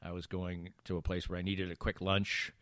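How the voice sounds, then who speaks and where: Low-voiced American man chatting casually. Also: monotone, normal speed, a bit bright, no echo, clean studio sound. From Massachusetts, USA. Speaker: podcast co-host. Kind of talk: casual banter.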